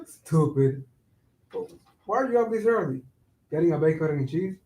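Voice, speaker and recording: A man's voice: fairly high pitch, lively intonation, moderate pace, warm tone, close to an everyday voice. A man in a staged play reading playing a teasing neighborhood buddy who works in the building. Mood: teasing, friendly. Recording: echoey room, far from mic.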